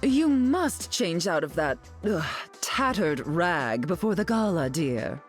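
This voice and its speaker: A woman's voice: fairly low pitch, sing-song, takes it slowly, faint music behind. A woman playing a haughty, glamorous, sardonic society queen in a video game, imperious and arch in manner. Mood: haughty, sly. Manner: imperious, arch, drawling endearments. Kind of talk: video game character dialogue.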